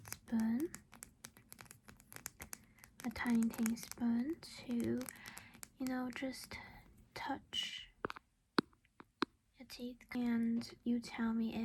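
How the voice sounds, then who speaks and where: Slow, whispering woman in gentle ASMR roleplay. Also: fairly high pitch, slight background noise, low-quality recording. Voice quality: breathy whisper with soft creaky voiced patches. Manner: gentle, reassuring, coaxing as if to a child. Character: doting vampire mother caring for her human child.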